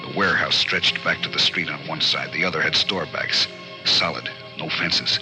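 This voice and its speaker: A man's voice: rumbling low, normal speed, music in the background, poor audio. A man narrating a 1940s radio thriller in a terse, suspenseful, dramatic scripted style. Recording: old radio broadcast recording.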